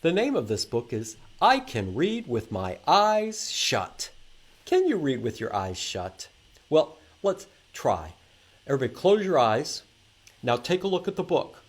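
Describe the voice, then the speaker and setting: A man hosts a children's storytime at an unhurried pace. His pitch swings up and down as he reads aloud and puts simple questions to his young listeners, explaining each thing step by step. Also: sing-song, breathy edge. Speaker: library storytime host. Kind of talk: children's read-aloud and sing-along.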